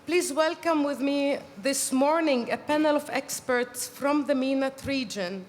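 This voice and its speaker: High-voiced woman moderating a panel. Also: measured pace, a little hiss or hum. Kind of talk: formal conference moderation. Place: conference hall. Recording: reverberant hall with faint ambience.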